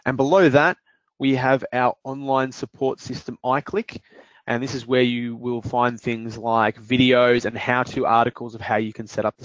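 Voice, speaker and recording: Man, software demo presenter, steady explanations, lo-fi dry recording. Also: medium pitch, no echo. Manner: steady explanatory delivery with occasional fillers. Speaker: product presenter. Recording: dull dry lo-fi audio.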